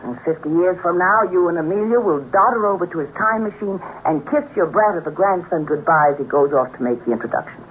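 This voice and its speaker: A woman playing a sharp-tongued, determined young woman in love, with an American accent, in a 1950s radio drama. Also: on the low side, animated, brisk, few pauses, close to an everyday voice. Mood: bitter, sarcastic. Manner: tart, sarcastic, impassioned. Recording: muffled, noisy 1950s radio recording.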